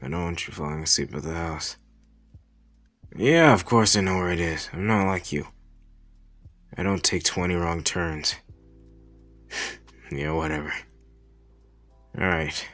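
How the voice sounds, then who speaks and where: Man playing a slow, low, drawling villain boyfriend. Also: a bit bright, soft background music. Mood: teasing, affectionate.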